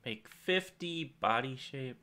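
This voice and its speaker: A man's voice: mid-range voice, slow pace. A young man hosting a gaming channel, thinking aloud in rambling casual commentary while he plays, recorded at a desk in a small room at home. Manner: rambling, thinking aloud, lively swings in loudness.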